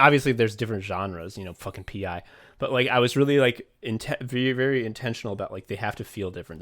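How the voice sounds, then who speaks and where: A man's voice: lowish voice, fairly bright tone. A man co-hosting a podcast, chatting casually, rambling with frequent swearing, fillers and restarts. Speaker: podcast co-host and writer.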